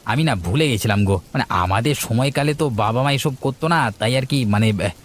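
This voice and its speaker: A man's voice: mid-range voice, fast, a little hiss or hum, lightly acted. A man voicing a petty, selfish village family man in a cartoon story, blunt and grumbling in manner. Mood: casual. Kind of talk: Bengali cartoon story voice acting.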